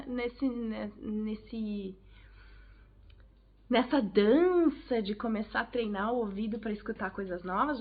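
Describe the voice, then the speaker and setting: Chatty Brazilian woman, tinny sound. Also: a little high. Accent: Brazilian Portuguese. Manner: chatty, direct, addresses viewers. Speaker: vlogger, English teacher. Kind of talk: casual advice vlog to camera.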